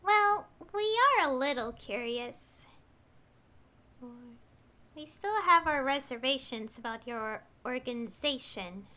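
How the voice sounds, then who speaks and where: High, bright-voiced woman streamer. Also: takes it slowly. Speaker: streamer reading game dialogue aloud. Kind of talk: voicing game lines on a livestream.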